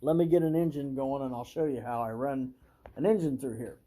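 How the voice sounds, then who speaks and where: A man casually presenting a hands-on hobby demonstration, talking haltingly and thinking aloud as he works. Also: medium pitch, mellow.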